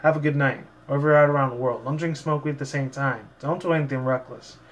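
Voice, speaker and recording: A man's voice: mid-range voice, steady tone, fast. A man hosting a fan fiction reading, talking casually in a rambling, repetitive way. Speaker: channel host and fan fiction reader. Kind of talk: casual vlog talk and story narration.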